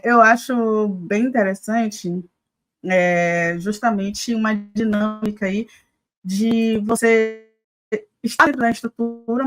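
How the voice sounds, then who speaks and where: A Brazilian woman hosting a live news commentary show, talking conversationally with many hesitations. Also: on the high side.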